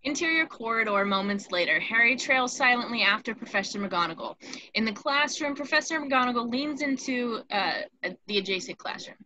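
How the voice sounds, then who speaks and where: Bright-voiced woman reading stage directions. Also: fairly high pitch, animated, normal speed, low-quality recording. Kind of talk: scripted narration in a table read. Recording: faint background noise.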